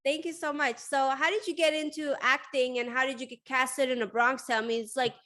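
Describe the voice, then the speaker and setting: Woman interview host, from Sweden, fairly high voice, bright tone, bubbly, filler-heavy. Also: little pitch movement, fairly quick. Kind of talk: casual interview.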